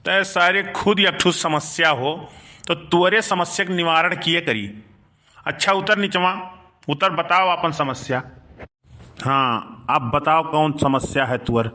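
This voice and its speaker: Man acting cartoon village uncle, middle-aged, Awadhi accent, sharp bright voice, slightly high, exasperated, henpecked. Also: fairly even intonation, unhurried. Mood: scolding, exasperated. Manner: grumbling, exasperated, rustic. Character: scolding village uncle, easily blackmailed, henpecked and wheedling. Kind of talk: comic cartoon dialogue in village dialect.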